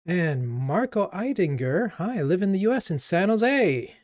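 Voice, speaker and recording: A man from Japan hosting a coding livestream as a coach, chatting casually and haltingly as he thinks aloud. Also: sits high, very animated, darkish tone, no echo, clean studio sound.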